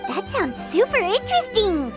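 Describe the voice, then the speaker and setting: A woman voicing a small, chirpy, curious childlike fairy companion in a video game, with a squeaky voice. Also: huge pitch swings, moderate pace, over music, exaggerated voice. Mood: eager, curious. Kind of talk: animated video game dialogue.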